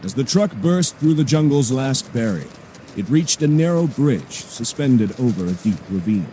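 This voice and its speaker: A man's voice: medium pitch, sing-song, moderate pace, dark tone, a little hiss or hum, low-quality recording. A man narrating an action audio drama from a script in a dramatic storytelling style.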